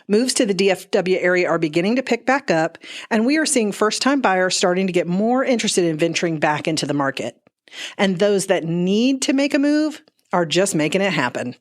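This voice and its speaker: A woman realtor delivering a scripted market report as a news segment. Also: fairly low pitch.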